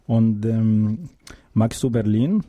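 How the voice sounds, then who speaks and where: A man interviewing young guests, asking questions gently and hesitantly with frequent fillers. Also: fairly low pitch, slow pace, narrow, tinny audio. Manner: hesitant, many fillers, encouraging.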